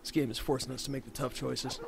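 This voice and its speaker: A man's voice: medium pitch, flat delivery, quick pace, gravelly. A man co-commentating on a video game with casual banter and dry, joking quips.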